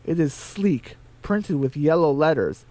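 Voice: mid-range voice, lively intonation, measured pace, warm tone. A narrator reading a scripted radio drama.

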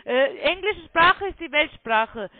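A woman's voice: sits high, animated, slow pace, no echo. A woman working as a call centre sales agent, talking in an insistent, condescending way on a phone sales call. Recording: tinny phone line.

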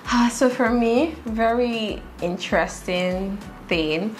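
A Nigerian woman in her twenties hosting a relaxed, playful chat from a sofa. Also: fairly high pitch, slow pace, slight background noise. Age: 20s. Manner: relaxed, playful, laughs easily. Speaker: host. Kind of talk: casual chat. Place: bright living room, seated on a sofa.

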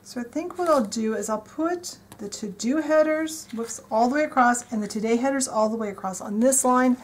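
A woman's voice: a little high, normal speed. A woman casually narrating as she decorates her planner, chatty and enthusiastic, thinking aloud as she works at a desk. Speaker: planner vlogger. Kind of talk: casual show-and-tell narration. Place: indoors at a desk.

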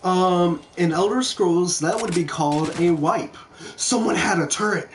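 A young man streams a video game with casual, self-deprecating commentary and plenty of swearing. His voice sits a little higher than most men's and he talks slowly, in a laid-back way. There is faint noise behind him in the home recording. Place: indoor room at home.

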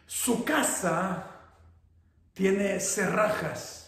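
A man in his fifties, a pastor with a northern Mexican Spanish accent, preaching slowly and emphatically from his home, repeating key words. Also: high voice, a bit bright. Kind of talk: sermon. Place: home living room, indoors. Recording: roomy echo.

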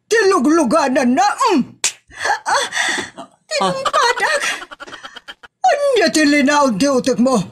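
A woman's voice: very high voice, big pitch swings, takes it slowly, a little hiss or hum, full character voice. A woman with an Ilocano accent playing a jealous, hot-tempered young wife who scolds shrilly in a comedy drama. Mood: furious, accusing.

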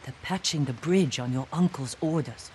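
An actor playing a loyal young fighter in a video game cutscene, speaking in a quiet, earnest way. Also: male voice, on the high side, moderate pace, slight background noise, close to an everyday voice. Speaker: game character. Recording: game soundtrack music behind.

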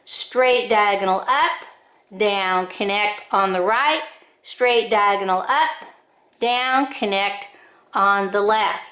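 An older woman giving step-by-step drawing instructions in short, deliberate phrases with frequent pauses, recorded in an echoing room. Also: mid-range voice, a bit bright. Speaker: drawing teacher. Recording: roomy, echoing indoor sound.

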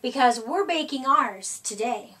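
A woman's voice: sits high, lively intonation, a little slow, bright, forceful tone, husky, recorded from a distance, exaggerated voice. A woman voicing an encouraging schoolteacher who leads her young class in a children's storybook.

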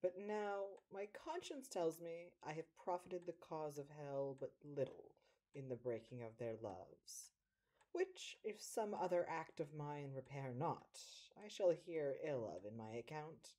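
A woman playing a vengeful but dutiful devil in an amateur audio drama, with measured theatrical speech. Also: low-pitched, lively intonation, warm tone. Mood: scheming. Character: devil, vengeful yet anxious about its duty.

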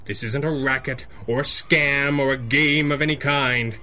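An actor playing a righteous, confident masked superhero in a radio drama, firm and dryly declarative. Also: male voice, medium pitch, steady tone, moderate pace, pushed and bright. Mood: firm indignation. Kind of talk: 1930s-style radio superhero drama acting.

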